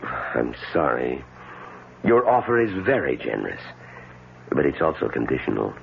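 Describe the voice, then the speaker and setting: Very deep-voiced, grandiose charlatan doctor. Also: male voice, big pitch swings, normal speed, vocal fry, a little hiss or hum, exaggerated voice. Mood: feigned reluctance. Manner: grandiose, formal, theatrical delivery. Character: smooth, calculating male charlatan doctor and faith healer. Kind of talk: old-time radio drama acting. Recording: dull lo-fi old radio recording, loud music.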